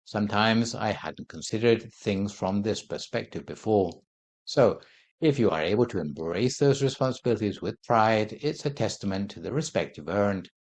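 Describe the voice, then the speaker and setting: A Buddhist monk giving a calm dharma talk, explaining his points in a measured, gentle way. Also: lowish voice. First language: not Thai.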